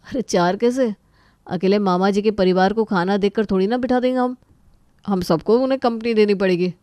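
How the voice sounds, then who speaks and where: A woman's voice: mid-range voice, normal speed, dark tone, smooth, no room sound, natural voice. A woman playing an easygoing young husband, a dutiful son who explains things matter-of-factly.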